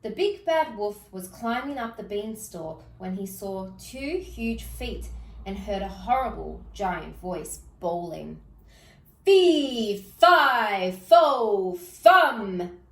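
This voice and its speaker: A woman reading a children's fairy tale aloud in an expressive storytelling style. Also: mid-range voice, slow, gravelly, echoey room, distant mic. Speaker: storybook reader.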